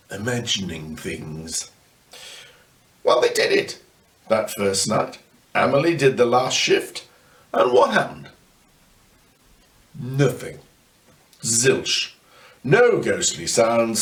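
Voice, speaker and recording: Slow-paced older male storyteller, distant mic. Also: medium pitch. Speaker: storyteller reading aloud. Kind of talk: narrated children's ghost story. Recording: distant microphone.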